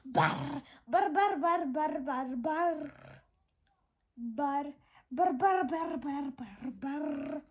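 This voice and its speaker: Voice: high voice, very slow. A storyteller with a childlike voice playing a mocking golden bird that sings a wordless, sing-song refrain in a folktale.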